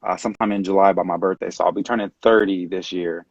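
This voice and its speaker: A man in his late twenties from California, talking casually as an interview guest on a video call, relaxed and rambling with many fillers. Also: low-pitched, quick pace, gravelly, dry recording. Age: late 20s. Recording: dull dry video-call audio.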